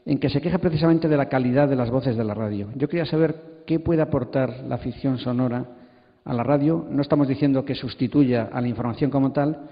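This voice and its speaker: A man's voice: medium pitch, fairly quick. Reverberant middle-aged Spanish man moderating. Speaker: panel moderator. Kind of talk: hesitant spoken moderating. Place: large hall at a congress. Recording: muffled, long hall reverb.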